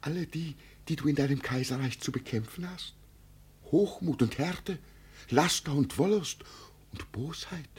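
An actor in a 1950s German radio play playing a mad old father who believes himself an emperor, pleading and solemn in a husky, creaky voice. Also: fairly high pitch, unhurried, darkish tone, slight background noise, lightly acted. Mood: urgent warning. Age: older. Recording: vintage 1950 radio recording.

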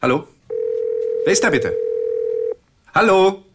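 An actor playing a lawyer and husband with a quiet, restrained, husky manner in a radio play. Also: male voice, sits high, sing-song, takes it slowly, heavy background noise, exaggerated voice.

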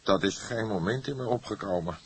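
A man's voice: on the low side, fairly quick, husky, pitched above the performer's own voice. A man voicing an agitated, defiant young murder suspect in an audiobook, speaking emphatically and with emotion. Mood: upset, explaining. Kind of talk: acted audiobook dialogue.

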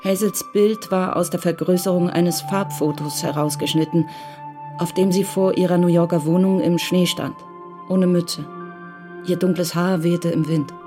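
A woman giving calm, measured scripted narration for a radio crime drama. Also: on the low side, over music.

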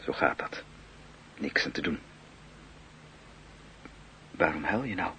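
A blunt, callous grown man talks slowly and offhandedly in a deep register. His tone is hard and bright at the edges. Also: a little hiss or hum, exaggerated voice. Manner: flat, offhand delivery of bad news.

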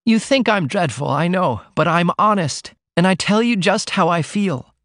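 A man's voice: high voice, expressive, moderate pace, a bit bright, no room sound, clean studio sound, pitched above the performer's own voice. A man voicing an earnest, innocent young woman who confides in her mother in an audiobook reading. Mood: earnest confiding.

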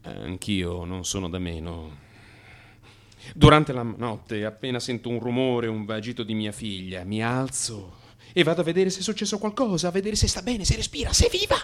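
A man's voice: medium pitch, expressive, normal speed, close to an everyday voice. An actor playing an anxious married man in crisis, confessing to his analyst in an agitated, emphatic radio theatre monologue. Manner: agitated, emphatic, swinging from hushed mutter to outburst.

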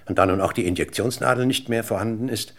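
An actor playing a courteous, measured, persistently probing police inspector in a 1960s German radio play. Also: male voice, on the low side. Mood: insinuating. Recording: noisy 1960s radio recording, clicks.

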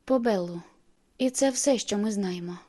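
A woman's voice: mid-range voice, normal speed, mellow, close to an everyday voice. A woman voicing a confident female vampire seer in an audiobook, smug and soothing in manner. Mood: cool. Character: self-assured, cool female vampire seer.

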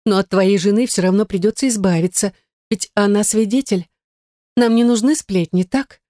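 A woman's voice: medium pitch, normal speed, warm tone, no room sound, studio quality, pitched above the performer's own voice, close to an everyday voice. A woman narrator voicing an obsessive, jealous woman in love, emotional and insistent in an audiobook drama. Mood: scheming, cajoling.

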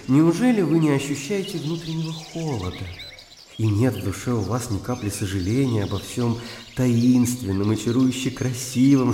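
Male actor as impassioned young gentleman idealist, radio drama, formal rhetoric, mid-pitched, very reverberant room. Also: slight background noise, natural voice. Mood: challenging. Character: fervent young idealist gentleman, argumentative and high-strung. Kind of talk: radio play acting.

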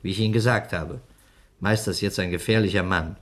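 A man playing a weary, stern police inspector in a 1960s radio drama, speaking in a measured, admonishing way. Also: low voice, steady tone, fairly bright tone.

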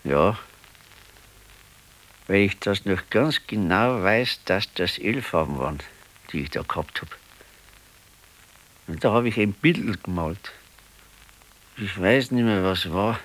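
Slow, musing old Bavarian husband. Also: male voice, low voice, lively intonation, a bit bright, full character voice, low-quality recording. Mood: musing, wistful. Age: older. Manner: halting, ruminative. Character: ageing husband, musing wistfully over his past. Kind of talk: naturalistic radio drama acting.

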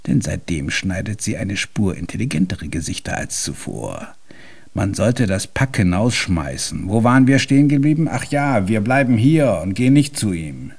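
An actor in a German radio drama playing an irascible, haughty, hard-of-hearing old composer with a husky voice and pompous, ornate speech. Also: male voice, fairly low pitch, heavily acted. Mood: mocking.

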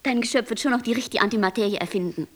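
An actress playing a mischievous, possessive dark angel of non-being in a radio play, taunting and coaxing with theatrical delivery. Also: female voice, on the high side, racing, natural voice. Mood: teasing.

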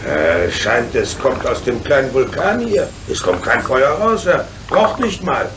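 Steady engine driver over loud rumbling. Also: male voice, unhurried. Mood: puzzled, curious. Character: steady, fatherly adult locomotive driver, calm in danger. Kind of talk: children's radio play acting. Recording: loud rumbling train noise behind.

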